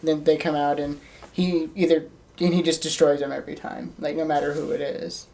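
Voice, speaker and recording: A woman co-hosting a podcast talks very quickly and casually. Her pitch stays level, with little rise or fall. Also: level tone, mellow. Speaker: podcast co-host. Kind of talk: casual wrestling discussion.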